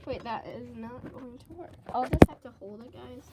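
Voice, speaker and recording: A teenage girl vlogging casually with a stuffy, congested voice from a cold, chatty and excitable. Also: a little high, normal speed, heavy background noise. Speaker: slime vlogger. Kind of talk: casual vlog chat.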